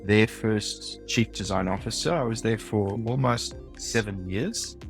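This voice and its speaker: A man with a South African accent, a design leader speaking as a podcast guest in a thoughtful, measured way. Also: fairly low pitch, vocal fry, soft background music. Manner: thoughtful, measured, some ums and uhs. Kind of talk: podcast interview.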